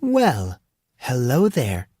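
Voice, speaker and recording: An effusive, protective mother figure from an audio story, acted by a man. The pitch is lifted high and moves about in lively swings. The delivery is gushing, forthright and nosy, recorded dry. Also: drawn-out words, dry recording, exaggerated voice. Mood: bright surprise. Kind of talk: dramatised audiobook dialogue.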